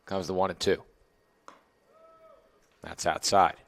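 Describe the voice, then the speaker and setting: A young man with a hoarse, raspy voice, calling baseball play-by-play pitch by pitch. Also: slow. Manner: steady, calls each pitch and count. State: hoarse, losing his voice. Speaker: play-by-play announcer.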